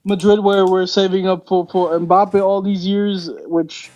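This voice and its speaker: A man's voice: high voice, level tone, measured pace, darkish tone. A man on a football panel, debating casually. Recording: dull lo-fi mic, keyboard typing.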